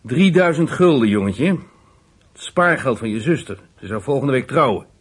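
A man playing a stern police officer in a naturalistic radio drama, husky, with a dry, clipped, insistent way of questioning. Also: medium pitch, lively intonation, moderate pace. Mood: stern, pressing.

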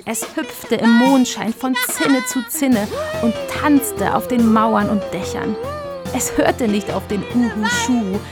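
A woman's voice: a little high, big pitch swings, slow, over loud music. A woman narrator reading scripted storybook narration for a radio play.

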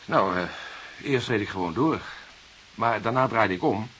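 A man's voice: fairly low pitch, a little slow, a bit bright. An actor playing a nervous, defensive murder suspect in a Dutch radio drama, hesitant and stammering as he repeats himself. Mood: uneasy, explaining. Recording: hissy radio play recording.

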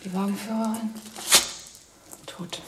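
An actor playing a dazed lone survivor in a radio play, muttering a monologue in short fragments. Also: male voice, high-pitched, little pitch movement. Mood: dazed, searching. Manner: muttering, clipped fragments with pauses. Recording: heavy background noise, off-mic.

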